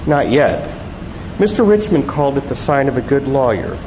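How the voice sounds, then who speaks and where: A man reading the part of a bright, sly, mock-polite woman television reporter in a novel. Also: mid-range voice, sing-song, normal speed, close to an everyday voice. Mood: sly, needling. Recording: echoey hall, loud background noise.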